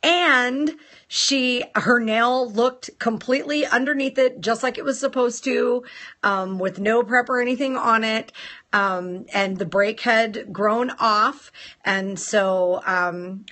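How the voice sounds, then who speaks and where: A woman hosting a casual live stream, chatting playfully with viewers and greeting them in a sing-song lilt, her voice a little rough from a sore throat. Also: a little high, unhurried, pushed and bright. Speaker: nail salon owner hosting a live stream.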